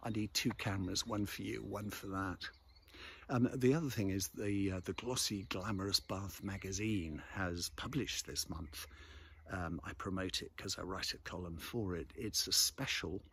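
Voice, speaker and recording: A man with a deep, low-pitched voice chats casually, like a host talking to his viewers. He is unhurried and hesitant, wandering off his thread and back again. The recording is made outdoors, with faint ambient noise behind him. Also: vocal fry, a bit bright. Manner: unhurried, hesitant, easily sidetracked. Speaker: vlog host and local magazine columnist. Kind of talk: rambling casual morning chat to camera. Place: outdoors in the countryside above a valley.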